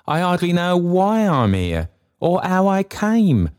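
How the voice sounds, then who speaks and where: A man's voice: sits high, wildly swooping pitch, slow pace, a little mellow, voice pushed up, heavily acted. A man voicing a humble, timid poor old man in a storybook reading, faltering and pleading with earnest deference.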